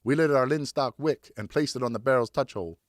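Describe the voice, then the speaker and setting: A man narrating an audiobook as a dramatic, theatrical storyteller with expressive scripted delivery. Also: mid-range voice, fast, dry recording, studio quality. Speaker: audiobook narrator, professional voice actor.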